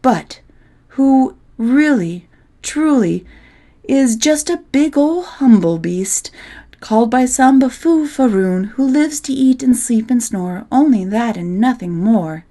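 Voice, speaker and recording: A woman reads rhyming verse as a storybook narrator, playful and theatrical, with measured phrasing. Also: a little high, expressive, dark tone. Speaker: poetry reader.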